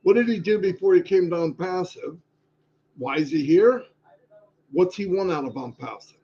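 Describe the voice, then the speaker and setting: A man's voice: sits high, moderate pace, slightly rough, highs cut off. A man hosting a live online show, talking in an emphatic motivational way and repeating lines for stress. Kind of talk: motivational live-stream talk.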